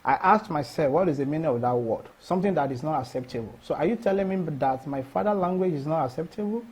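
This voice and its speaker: Nigerian man, middle-aged, Yoruba first language; high, mellow voice, earnest interview guest. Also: lively intonation, fast talker, breathy edge. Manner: earnest, emphatic, repeats and restarts phrases. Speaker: cultural festival organizer. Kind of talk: interview answers.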